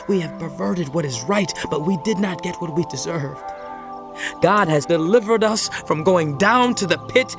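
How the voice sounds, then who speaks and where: An earnest, righteous orator from a biblical audio drama. His voice sits somewhat high for a male speaker. He declaims emphatically with rhetorical pauses, and music plays clearly underneath. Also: full character voice. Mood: fervent. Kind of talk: dramatized audio Bible acting.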